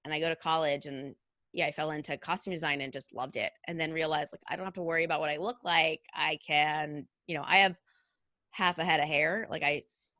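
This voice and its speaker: A woman's voice: low voice, steady tone, fairly quick, bright, forceful tone, dry recording. A woman in her thirties, a costume designer as interview guest, talking in a chatty way, full of "like" and "you know". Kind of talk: casual conversational interview.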